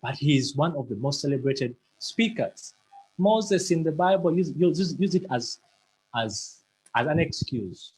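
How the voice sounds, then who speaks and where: A man's voice: fairly high pitch, animated, a little slow, a little mellow, slight background noise, rough recording. A man giving a motivational talk, earnest and emphatic, who stammers and repeats words now and then. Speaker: motivational speaker.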